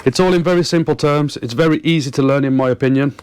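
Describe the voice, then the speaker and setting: A man's voice: fairly high pitch, fast talker, darkish tone, slight background noise. Earnest man explaining casually. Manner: earnest, emphatic, repeats words for stress. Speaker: fishing and boating vlogger. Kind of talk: casual explainer, talking to viewers.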